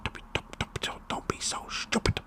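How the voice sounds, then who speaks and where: A man's voice: normal speed, natural voice. A British man voicing a bossy, brash wife who orders her husband about, all in a whisper, for comedy ASMR. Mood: scolding.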